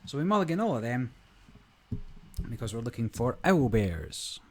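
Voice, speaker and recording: A man hosting a gaming channel, talking through a card game in a relaxed, rambling way, his voice a little croaky. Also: mid-range voice, moderate pace. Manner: relaxed, rambling, many fillers. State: slightly croaky voice. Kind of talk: casual gameplay commentary.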